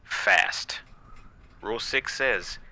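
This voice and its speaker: Male actor as exhausted young watchman, horror audio drama. Deliberate pace, hard forceful edge, haunted and dramatic. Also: full character voice. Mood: uneasy. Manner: halting, dramatic, breaking into whispers. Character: exhausted, frightened young night watchman recording desperate log entries. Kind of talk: first-person horror audio log narration.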